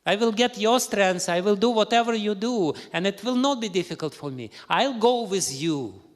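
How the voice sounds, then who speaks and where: A loyal young princess protests in a high, strained voice, as a man storyteller puts her on. Her pleas ring through a hall with a long echo. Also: lively intonation, normal speed. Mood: indignant. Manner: indignant, pleading, high strained voice. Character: devoted young princess, fiercely loyal wife. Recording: reverberant hall.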